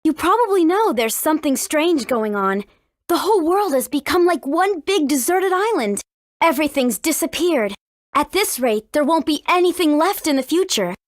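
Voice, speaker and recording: A woman's voice: sits high, animated, moderate pace, no room sound, studio quality. A woman voicing a confident, cheeky teenage heroine in a video game, breezy and persuasive. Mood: earnest. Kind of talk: video game character acting.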